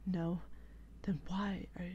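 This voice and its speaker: A woman playing an exasperated, protective female vampire in a breathy, whispery ASMR role-play, with slow and dramatic reproach. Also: on the low side, flat delivery, dark tone, dull audio, a little hiss or hum. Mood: irritated, weary.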